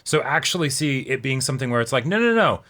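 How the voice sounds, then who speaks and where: Agreeable man with a bright, sharp voice. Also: medium pitch, sing-song, fast talker. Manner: agreeable, often chiming in with short affirmations. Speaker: co-host of anime reaction show. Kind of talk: casual conversational commentary.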